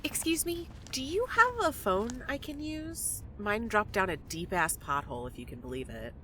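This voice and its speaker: A woman's voice: mid-range voice, very animated, moderate pace, pushed and bright, a little hiss or hum. A woman in an audio drama playing a blunt, wry young newcomer with casual, dry, naturalistic delivery. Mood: worried, casual.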